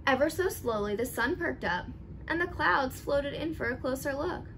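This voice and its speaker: A young woman reading a picture book aloud expressively, with animated, deliberate emphasis for young listeners. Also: high-pitched. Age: young adult. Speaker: storybook reader. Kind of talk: expressive children's read-aloud. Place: living room at home. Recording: clearly noisy home recording.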